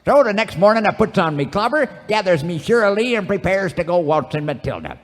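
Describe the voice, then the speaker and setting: An actor playing a cantankerous, boastful old cowboy sidekick, spinning folksy, rambling tales in a live old-time radio comedy. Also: male voice, high-pitched, sing-song, a little fast. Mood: animated, boastful. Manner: folksy, rambling, theatrical storytelling. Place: live radio theater stage. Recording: echoey theater stage, music behind.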